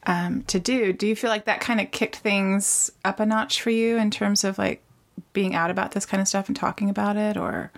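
A woman's voice: mid-range voice, steady tone, moderate pace. A woman in her forties co-hosting a casual podcast interview, bubbly and gushing, laughing often. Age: 40s. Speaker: podcast co-host.

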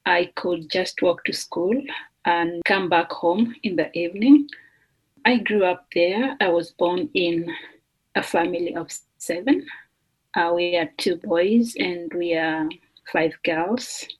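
A woman from Kenya, a doctoral student, talking slowly and thoughtfully with fillers as a guest on a remote podcast interview. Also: on the low side, husky, poor audio. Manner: slow, thoughtful, with fillers and restarts. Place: remote call.

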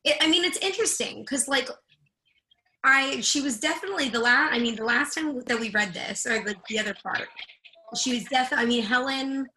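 A woman, an actress, casually discussing a reading in a halting, searching way. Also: sits high, bright, forceful tone, slight background noise, poor audio. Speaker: actress in readers theatre.

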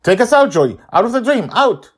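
A man voicing a frightened young man who yells in panic. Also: high voice, very animated, moderate pace, slightly rough, voice pushed up, full character voice. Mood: panicked. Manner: panicky yelling.

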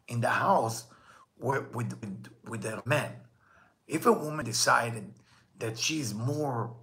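Hebrew-accented guy teaching kabbalah, gravelly and bright, voice rises and falls a lot. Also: mid-range voice. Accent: Israeli Hebrew. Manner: earnest, rhetorical questions, switches into Hebrew quotes. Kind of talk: weekly Torah lesson.

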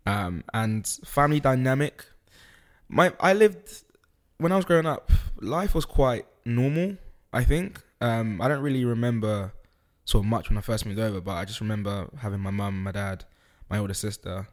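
A man, a podcast guest talking through his childhood in an easygoing, unhurried way with frequent ums. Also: on the low side. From East London, UK. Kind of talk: casual interview chat.

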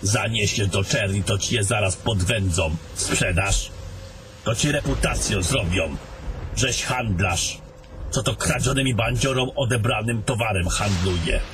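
A fantasy adventurer, acted by a man, with a fairly low voice and slow delivery. He is a gruff traveller in an audio drama, with clearly audible noisy ambience around him. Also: lightly acted. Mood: matter-of-fact.

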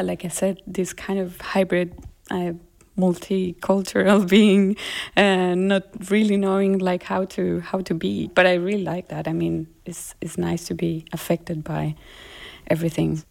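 Colombian woman, low gravelly voice. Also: little pitch movement, unhurried, a little mellow. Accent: Colombian Spanish. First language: Spanish. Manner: thoughtful, hesitant, laughs often. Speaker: musician. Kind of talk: interview conversation.

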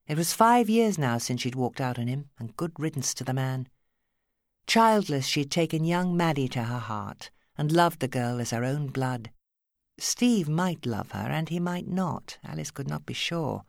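A woman's voice: sits low, animated, normal speed, creaky, dry recording, studio quality. A woman with an English accent reading a novel as an audiobook narrator, in a dramatic scripted style.

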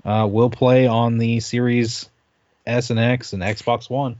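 A man's voice: mid-range voice, flat delivery, takes it slowly, a little mellow, no echo, highs cut off. A man co-hosting a gaming podcast, chatting casually in a somewhat hesitant way. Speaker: podcast co-host.

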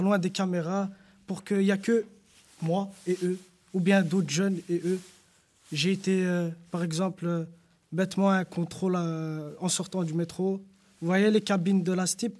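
A young man speaks in a notably high voice. He tells his own story with earnest indignation, repeating his points and pausing on fillers. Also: a little mellow. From Belgium. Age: young adult. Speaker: panel guest. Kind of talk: personal testimony in a panel discussion.

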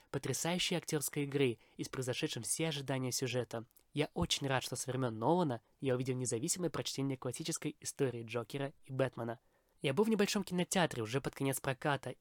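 Guy hosting a movie podcast, fast scripted review, opinionated, dry close sound. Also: medium pitch, no echo. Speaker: podcast host, film reviewer.

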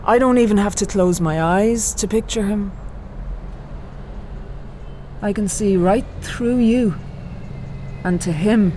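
An actress playing a lovelorn, haunted middle-aged psychologist in a radio drama, halting and confessional. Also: female voice, mid-range voice, dark tone, slight background noise, lightly acted. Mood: haunted, yearning.

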